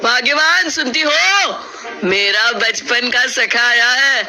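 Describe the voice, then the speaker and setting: A man's voice: very high-pitched, takes it slowly, heavily acted. A man voicing a greedy, scornful, scheming village grocer in a cartoon moral story. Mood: smug, scheming. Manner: bullying, boastful, wheedling when in need. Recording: loud music behind the voice. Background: loud music.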